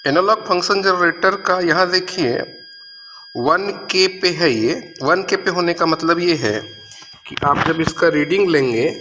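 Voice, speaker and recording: A man's voice: high-pitched, bright, forceful tone. A man giving a step-by-step lab demonstration as a physics teacher, instructing and pointing things out as he goes. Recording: echoey room, noisy with hum.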